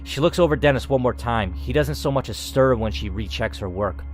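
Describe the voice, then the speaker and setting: A man's voice: mid-range voice, expressive, fairly quick. Man narrating a game over music. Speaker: gamer, channel host. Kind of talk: reading game narration aloud with casual commentary.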